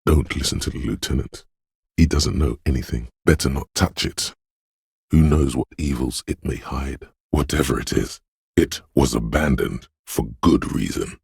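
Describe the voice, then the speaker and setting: A man voicing a brooding, superstitious inner voice of intuition in a video game, speaking in a hushed, portentous, theatrical way. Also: normal speed, dry recording, clean studio sound, exaggerated voice. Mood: dark, wary. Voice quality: very deep, gravelly, husky. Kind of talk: dramatic video game narration.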